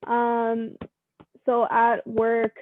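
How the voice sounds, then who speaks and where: Young woman from mexico, kinda high voice, talks earnest with lots of likes and ums, phone-y dry sound. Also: monotone, drawn-out words, phone-quality sound. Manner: earnest, many fillers like "um" and "like". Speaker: guest. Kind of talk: casual interview.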